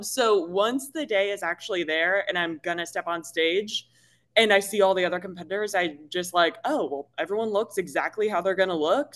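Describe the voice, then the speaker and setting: A woman from Missouri with a deep voice, a guest chatting casually in an interview, a little nervous and laughing easily. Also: fairly bright tone. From Missouri, USA. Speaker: guest, bikini athlete.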